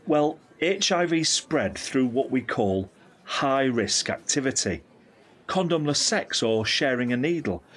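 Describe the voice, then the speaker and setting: An actor playing a candid, caring middle-aged uncle in an audio drama, speaking in an earnest, reflective, explanatory way. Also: male voice, medium pitch, fairly bright tone, breathy edge. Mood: reassuring. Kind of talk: scripted audio drama dialogue.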